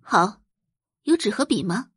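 A woman voicing a calm, refined, self-possessed young healer in an audiobook drama, polite and matter-of-fact. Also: medium pitch, measured pace, slightly rough, no room sound, clean studio sound. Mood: calm, instructing. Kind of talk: Chinese audiobook drama dialogue.

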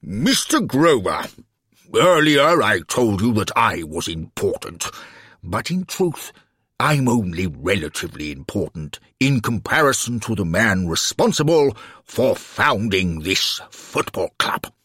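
Man putting on a booming, pompous alderman. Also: sing-song, fairly bright tone, husky, no echo, studio quality, heavily acted. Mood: grandly ceremonious. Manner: pompous, slow, stately. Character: self-important, ceremonious male town alderman.

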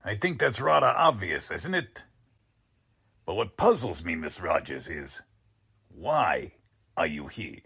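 Smooth-mannered but threatening male swindler, acted by a man, low gravelly voice, old-time radio villain. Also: very animated, takes it slowly, long pauses, a bit bright, exaggerated voice. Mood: smug menace. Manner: mock-polite, threatening. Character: menacing con artist man posing as a foreign Count. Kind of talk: radio drama acting in 1940s manner.

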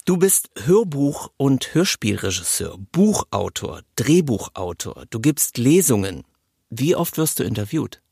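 A man's voice: medium pitch, very animated, unhurried, creaky, a little mellow, breathy edge, no room sound, clean studio sound. A man hosting a podcast, giving prepared introductions and interviewing in a conversational way.

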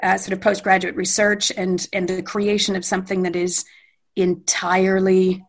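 Gravelly-voiced Canadian woman, meandering. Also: medium pitch, completely flat delivery, moderate pace. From Western Canada. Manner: thoughtful, meandering, self-correcting. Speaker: guest. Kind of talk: unscripted interview talk.

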